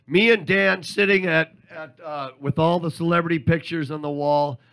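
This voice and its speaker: A man co-hosting a radio show in casual comedic banter, excitable and joking, jumping between half-finished thoughts. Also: fairly high pitch, moderate pace, clean tone.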